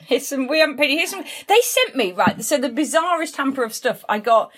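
High-voiced woman chatting on a podcast. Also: big pitch swings, a little fast, a bit bright. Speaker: podcast co-host. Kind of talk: casual chat.

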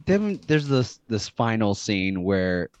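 Halting man hosting a podcast. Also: on the low side, big pitch swings, no room sound, muffled recording. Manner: halting, many fillers and restarts. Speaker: podcast host. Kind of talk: casual chat.

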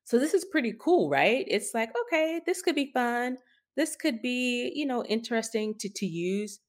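A woman's voice: fairly high pitch, expressive, normal speed. A woman co-hosting a podcast, giving conversational, emphatic and skeptical commentary on tech news. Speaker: podcast co-host.

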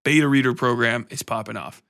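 A man hosting a podcast in a casual, energetic and informal way, chatting freely and swearing now and then. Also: mid-range voice, brisk, creaky. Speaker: podcast host and interviewer. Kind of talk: casual podcast chat and sign-off.

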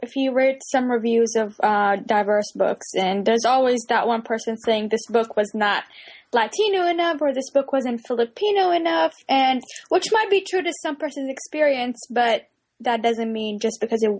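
A woman with a fairly high voice reasons her point through earnestly. She speaks plainly in a casual panel discussion. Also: moderate pace, a little mellow.

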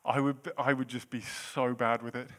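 A man's voice: medium pitch, flat delivery, moderate pace, a bit bright. A man in his late thirties preaching a sermon in a church, with a self-deprecating, jokey manner between points. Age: 30s. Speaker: pastor.

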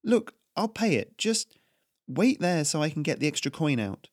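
A man voicing a young woman baker who is quick-tongued and wary. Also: deep voice, very animated, darkish tone, no echo, clean studio sound, pitched above the performer's own voice. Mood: snappish. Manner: snappish and quick.

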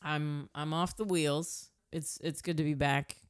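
A woman co-hosting a podcast, with a voice that sits low for a woman. She tells stories in an animated, laughing way. The sound is dry and close, with no room echo. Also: measured pace, clean tone. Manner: laughs often, animated storytelling. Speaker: podcast co-host. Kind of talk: casual chat.

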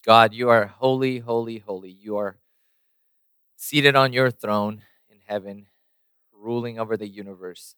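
A man's voice: lowish voice, steady tone, measured pace, pauses often, a bit bright, clean tone, dry recording, clean studio sound. A man preaching a sermon and praying, losing his voice a little, with many fillers and restarts. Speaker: pastor. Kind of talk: sermon with prayer.

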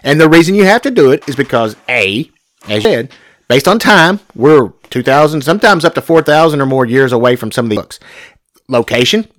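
Lively man in his forties, dry close sound. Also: medium pitch, fairly quick, no room sound. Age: 40s. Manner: self-described young whiny voice with a country twang. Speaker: podcast co-host. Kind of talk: casual chat on Bible interpretation.

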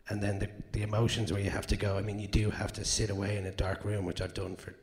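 An Irish actor with a naturally hoarse voice, answering audience questions candidly and rambling, with fillers and swearing. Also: male voice, on the low side, little pitch movement, fast, darkish tone. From Ireland. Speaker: actor guest. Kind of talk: live Q&A answers. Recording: long hall reverb.

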